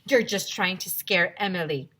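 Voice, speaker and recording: A woman's voice: on the low side, moderate pace, fairly bright tone, breathy edge. A woman voicing a prim, bossy know-it-all schoolgirl with a prissy, preachy manner in a storybook. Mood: dismissive.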